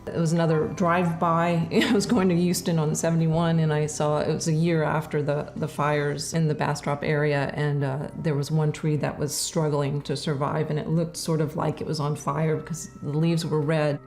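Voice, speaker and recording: A middle-aged woman, an artist, talking in a casual interview, reflective and halting with many fillers and restarts. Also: sits low, level tone, darkish tone.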